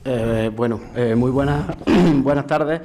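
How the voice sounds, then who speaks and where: A man's voice: medium pitch, takes it slowly, mellow, a little hiss or hum. A man, a local councillor, giving brief, hesitant thanks with many fillers. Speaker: municipal councillor. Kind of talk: brief formal thanks at a public event.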